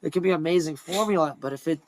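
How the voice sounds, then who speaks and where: A man's voice: fairly high pitch, fast talker, slight background noise. Upbeat jokey man in his twenties. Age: 20s. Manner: upbeat, jokey, loud bursts. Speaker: podcast co-host. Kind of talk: casual chat. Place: home room.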